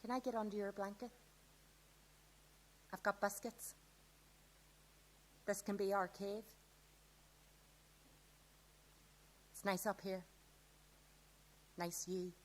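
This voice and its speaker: A woman's voice: mid-range voice, fairly even intonation, slow, long pauses, slight background noise, lightly acted. A woman acting a touchy but affectionate teenage girl in a live radio play, earnest and naturalistic. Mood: soft, settled.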